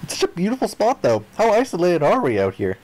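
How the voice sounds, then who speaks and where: A man voicing a flippant, grandiose young amnesiac castaway, reading visual novel dialogue aloud in an expressive way. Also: high-pitched, fast talker, heavily acted, rough recording. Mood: breezy, joking. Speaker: video game player reading aloud. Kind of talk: dramatic read-aloud of visual novel text.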